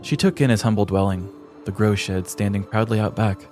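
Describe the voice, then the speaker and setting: A man's voice: fairly low pitch, fairly even intonation, a little fast, over music. A man hosting a podcast, reading scripted comic true-crime narration with a dramatic storybook delivery. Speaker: podcast host.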